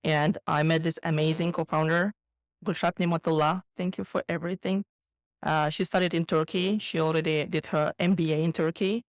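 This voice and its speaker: A woman's voice: sits low, fairly even intonation, fairly quick, dry recording. A woman from Kazakhstan, a guest entrepreneur chatting loosely in an interview, full of "like" fillers. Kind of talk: casual interview chat.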